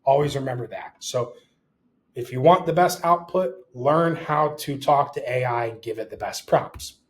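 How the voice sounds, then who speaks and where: A man's voice: medium pitch, moderate pace. A man presenting a casual, explanatory tutorial as an instructor, posing short rhetorical questions as he goes.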